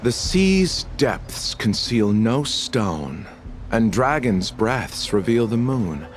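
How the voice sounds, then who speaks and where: A man voicing a suave, enigmatic traveling merchant in a video game, polite and theatrical with gentle irony. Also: lowish voice, sing-song, slow pace, soft background music. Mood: poetic, wry.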